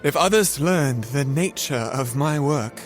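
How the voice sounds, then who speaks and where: An actor playing a tormented scientist, recounting his horror in a halting, grandiose theatrical monologue. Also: mid-range voice, lively intonation, soft background music, close to an everyday voice. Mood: haunted. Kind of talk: theatrical audio drama monologue.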